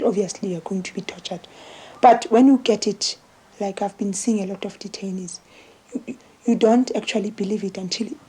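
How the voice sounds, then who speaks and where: A South African woman with a husky voice speaking as an interviewee, giving testimony haltingly and repeating words. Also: medium pitch, dark tone, rough recording.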